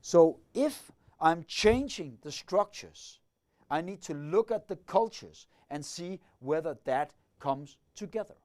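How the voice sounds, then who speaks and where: A man's voice: a little high, animated, slow, a little mellow. A middle-aged man lecturing on leadership, methodically working through the ideas and asking himself questions as he goes. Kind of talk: explanatory lecture.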